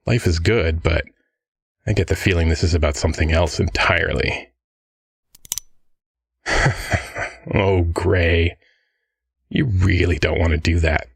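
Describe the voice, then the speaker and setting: A man playing a swaggering, smug billionaire crime-boss father in an audio drama, with a husky, drawling, mock-affectionate delivery. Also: low-pitched, fairly even intonation, slow, long pauses, full character voice. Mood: amused, taunting.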